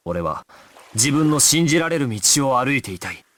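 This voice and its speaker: An actor playing a resolute, stoic young gangster squad leader in a Japanese action video game, speaking with solemn, commanding authority. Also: male voice, mid-range voice, sing-song, normal speed, a bit bright. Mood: grave, resolute. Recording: music behind the voice.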